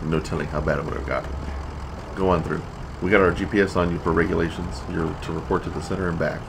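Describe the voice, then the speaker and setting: Deep-voiced commanding captain played by a man. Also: steady tone, vocal fry. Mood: brisk, businesslike. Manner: brisk, clipped military orders. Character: gruff, commanding male police captain at a checkpoint. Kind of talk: audio drama acting. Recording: vehicle and city noise behind.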